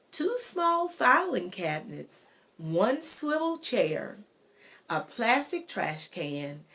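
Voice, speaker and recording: A woman narrates a school storybook at a slow pace. Her pitch sits in the middle range, and she reads deliberately, often pausing partway through a sentence. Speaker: guest reader. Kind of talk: audiobook-style storybook read-aloud.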